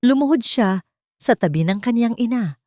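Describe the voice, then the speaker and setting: A woman's voice: fairly high pitch, expressive, normal speed, mellow, dry recording, clean studio sound. A woman narrating a scripted melodramatic story in a dramatic storytelling style.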